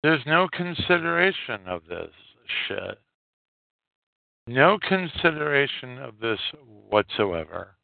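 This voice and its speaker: A man hosting a solo podcast, giving unscripted political commentary in a halting way and repeating phrases for emphasis. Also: mid-range voice, very animated, gravelly, no echo. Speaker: podcast host. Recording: muffled, tinny phone-like sound.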